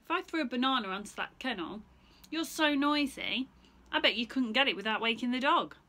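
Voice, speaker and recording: A woman's voice: high voice, lively intonation, brisk, sharp tone, voice pushed up. Woman playing a cheeky, taunting young boy. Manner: taunting, daring. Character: cheeky, clever young boy goading animals.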